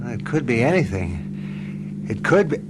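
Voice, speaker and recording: An actor playing a puzzled, subdued husband in a radio mystery drama, low-key and unsure. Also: male voice, big pitch swings, a little slow. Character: puzzled, subdued husband driving through a strange ordeal. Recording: radio drama with car noise behind.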